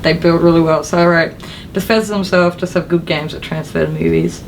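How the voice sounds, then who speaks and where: A woman's voice: fairly low pitch, fairly even intonation, a little fast, gravelly, a little hiss or hum, rough recording. A woman co-hosting a gaming show in casual chat, with an informal, slangy way of talking. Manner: informal, slangy, mildly sweary. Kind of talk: casual chat about games and films.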